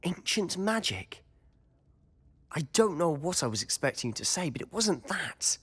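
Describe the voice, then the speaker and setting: An actor playing a charming teenage wizard schoolboy in a game, speaking in a lively, curious, confiding manner. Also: male voice, fairly high pitch, moderate pace, breathy edge.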